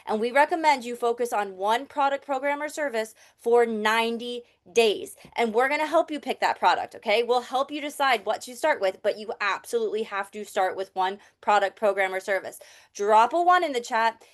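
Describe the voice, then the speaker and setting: A woman hosts a live online training, talking straight to viewers in the chat. She is upbeat and direct, with a slightly high voice. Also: animated, normal speed. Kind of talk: sales pitch to a live chat audience.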